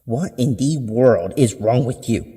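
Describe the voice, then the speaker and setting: A man's voice: on the low side, unhurried, warm tone, husky, big-room sound. A man voicing a harsh, contemptuous mother in a horror story, scolding curtly. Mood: furious. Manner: curt, scolding. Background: music.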